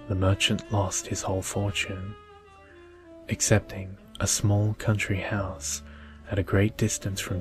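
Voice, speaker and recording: Low, even-toned young man reading softly. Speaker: video creator reading a bedtime story. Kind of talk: soft ASMR storybook reading and intro.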